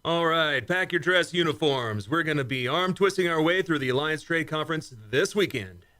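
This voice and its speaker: A man's voice: fairly high pitch, moderate pace, bright, forceful tone. A man acting as a loyal, plain-spoken starship commander in a sci-fi radio play, with military deference and a wry edge. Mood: brisk, wry.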